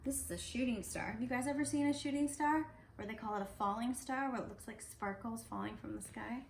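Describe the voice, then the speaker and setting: A woman's voice: a little high, brisk, gravelly. Woman reading aloud casually in an echoey room. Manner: conversational, explains pictures as she reads. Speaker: parent reading aloud to children. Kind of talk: casual picture-book read-aloud with asides to kids. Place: indoors at home. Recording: roomy, echoey home recording with faint noise.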